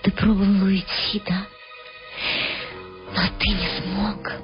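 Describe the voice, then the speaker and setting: A woman's voice: medium pitch, little pitch movement, slow pace, natural voice. An actress playing an intimate, wistful woman lover in her early thirties in a radio drama. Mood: tender. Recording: dull lo-fi radio sound. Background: music behind the voice.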